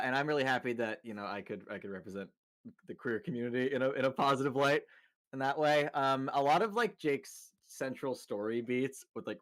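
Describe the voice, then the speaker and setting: A man's voice: mid-range voice, a bit bright. A man voice actor speaking earnestly and heartfelt, stumbling and repeating himself. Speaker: voice actor on a panel.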